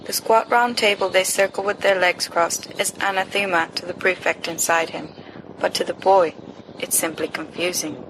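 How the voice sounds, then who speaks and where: Woman narrating fiction over clear background noise. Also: lowish voice, fairly even intonation, moderate pace. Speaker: volunteer audiobook reader. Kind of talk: expressive narration of fan fiction. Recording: noisy amateur home recording.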